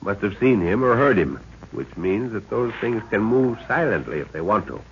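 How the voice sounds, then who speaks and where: An actor playing a seasoned, calm and authoritative jungle expedition leader in a 1930s radio adventure serial. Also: male voice, on the low side. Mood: grim, measured. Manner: authoritative, deliberate, clipped orders. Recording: scratchy, muffled 1930s radio recording.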